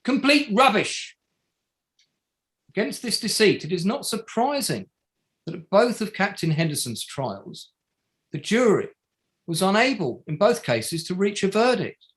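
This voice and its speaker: Man acting an emphatic, high-pitched, animated male barrister. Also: full character voice. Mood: indignant, emphatic, then grave, accusing. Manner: deliberate, emphatic, pausing between short phrases. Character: indignant male barrister addressing a public inquiry. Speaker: actor in an online table read. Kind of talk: formal courtroom submission, play reading.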